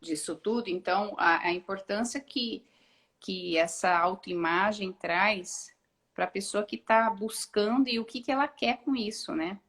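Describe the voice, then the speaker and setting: A woman's voice: mid-range voice, measured pace, fairly bright tone. A woman talking as a guest in a live-streamed video call, thinking aloud with frequent hesitations and restarts. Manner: reflective, many hesitations and restarts. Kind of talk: casual live-stream conversation.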